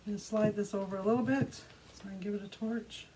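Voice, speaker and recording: A woman with a soft, mellow voice at an average pitch talks through a hands-on craft demonstration. She is casual and unhurried, describing each step as she does it. There is some faint noise behind her. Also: fairly even intonation. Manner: unhurried step-by-step narration of own actions. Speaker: art tutorial host. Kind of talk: casual craft demonstration, talking while working. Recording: clearly audible background noise.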